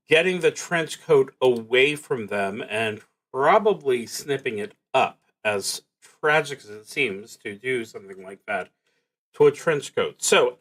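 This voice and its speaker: Slow-paced man musing over a solo game. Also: mid-range voice, sharp tone. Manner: musing, halting, wry. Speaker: streamer, solo roleplaying game player. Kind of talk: thinking aloud while narrating a solo tabletop game.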